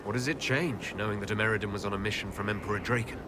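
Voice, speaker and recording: An actor voicing a composed male elf hero in a video game, asking questions in a measured way. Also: on the low side, music in the background, close to an everyday voice. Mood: inquiring. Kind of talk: video game dialogue acting.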